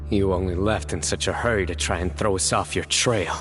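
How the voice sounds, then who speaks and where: An actor playing a serious, perceptive young investigator in a video game. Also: male voice, deep voice, fairly quick, fairly bright tone, soft background music. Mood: probing. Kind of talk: dramatic video game voice acting.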